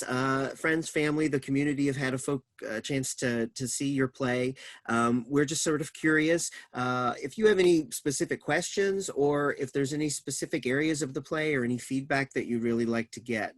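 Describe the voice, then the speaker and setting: A man hosting a casual theater talkback, pausing and searching for his words. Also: fairly high pitch, a bit bright, no room sound. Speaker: stage directions reader and talkback host. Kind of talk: reading stage directions, casual moderated talk.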